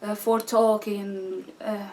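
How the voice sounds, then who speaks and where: A woman's voice: medium pitch, level tone, mellow. A Syrian woman in her thirties, a guest speaking hesitant with frequent restarts and fillers. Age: 30s. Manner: halting non-native English with restarts and fillers. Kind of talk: conversational interview answers. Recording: distant mic with faint background noise.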